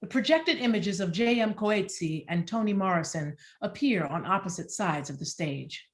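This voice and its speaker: A woman reading stage directions as scripted narration in a measured, descriptive way. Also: medium pitch, expressive.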